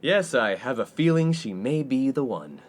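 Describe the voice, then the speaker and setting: A man's voice: medium pitch, big pitch swings, normal speed, natural voice. An actor playing a pompous, boastful young college man who fancies himself a ladies' man in a comic radio play. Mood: breezy. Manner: pompous, boastful, theatrical delivery. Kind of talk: 1950s-set comedy radio play acting.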